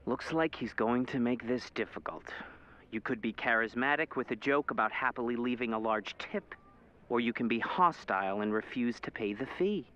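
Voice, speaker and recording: Man as an earnest spy agency handler, mid-pitched. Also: moderate pace, fairly bright tone, dull audio, lightly acted. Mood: guiding. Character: earnest, alert male spy agency handler briefing an agent. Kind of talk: scripted interactive game acting.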